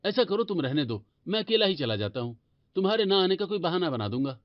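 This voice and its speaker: Man acting a high-pitched, belittling young husband. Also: sing-song, a little fast, no room sound, highs cut off. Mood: disgusted, belittling. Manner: blunt, critical, dismissive.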